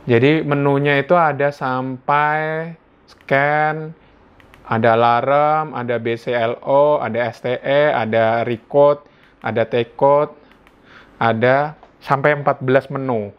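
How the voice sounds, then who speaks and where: A man's voice: mid-range voice, steady tone, slow pace, clear, resonant tone. An Indonesian man giving a casual hands-on gadget review indoors at home, talking informally as if thinking aloud. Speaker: product reviewer. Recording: dull lo-fi sound.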